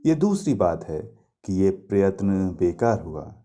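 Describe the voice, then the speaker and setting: A man's voice: deep voice, very animated, a little slow, dark tone. A man voicing a lovelorn, reproachful young woman in a mythological story, speaking in a poetic, pleading, emphatic way. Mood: tender, pleading.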